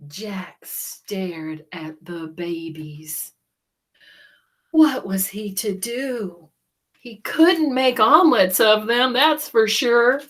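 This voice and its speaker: A woman reading a children's story aloud in a dramatic, deliberate way, with hushed moments. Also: mid-range voice. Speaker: storybook reader.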